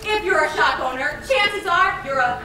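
Actress as a high-pitched, animated lady scientist, echoey theater. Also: moderate pace, music in the background. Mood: perky, mock-earnest. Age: young adult. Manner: theatrical, emphatic delivery. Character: perky young woman scientist lecturing on romantic comedy rules. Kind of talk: scripted comic stage narration. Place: stage in a large theater. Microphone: far camera. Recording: distant echoey theater with audience.

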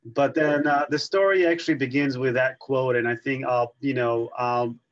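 Man in his thirties from Israel, webinar presenter, halting delivery, muffled call audio. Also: medium pitch, fairly even intonation. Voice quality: halting, many restarts and fillers. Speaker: neurosurgery fellow presenting. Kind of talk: webinar talk with slides. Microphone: video call. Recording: slightly dull video call audio.

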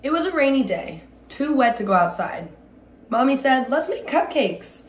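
A woman's voice: high-pitched, big pitch swings, normal speed. A young adult woman reading a children's story aloud expressively, recorded indoors at home a little way from the microphone. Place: small indoor room at home. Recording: roomy echo, light background noise.

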